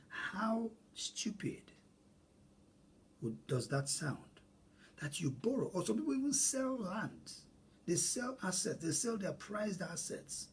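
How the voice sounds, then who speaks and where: A man's voice: sits high, darkish tone, slight background noise. A man from Nigeria with a Nigerian accent, a native Igbo speaker, hosting an earnest, emphatic solo talk on money. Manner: earnest, emphatic, repeats phrases for stress. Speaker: host.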